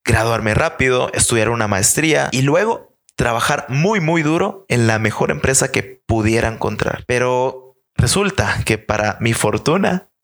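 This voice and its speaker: A man's voice: mid-range voice, lively intonation, moderate pace, a bit bright. A man in his twenties with a Guatemalan accent, hosting a solo motivational podcast in an earnest, upbeat way with friendly tag questions. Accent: Guatemalan Spanish. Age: 20s. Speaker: podcast host.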